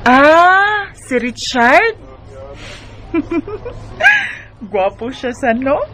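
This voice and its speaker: Woman acting high, animated teasing young girlfriend. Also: extremely slow, heavy background noise, heavily acted. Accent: Filipino, Bisaya. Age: young adult. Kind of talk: amateur radio drama acting.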